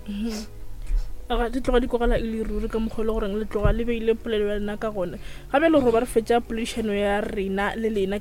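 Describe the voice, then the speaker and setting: Female radio host, quick and mellow, faint music underneath. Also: a little high. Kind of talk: radio talk show.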